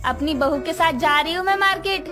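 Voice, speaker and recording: High female voice, blunt middle-aged mother-in-law, played by a woman, homely, cartoon story, clear background music. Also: a little fast, bright, forceful tone. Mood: homely, asking. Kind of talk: Hindi cartoon story voice acting.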